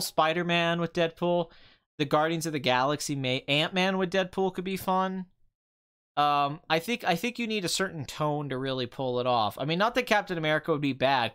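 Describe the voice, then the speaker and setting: Clear-voiced man chatting casually, voice tiring. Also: on the high side, a bit bright. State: voice tiring and strained after two hours of talking. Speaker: live stream host, film commentator. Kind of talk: casual rambling live-stream chat about movies.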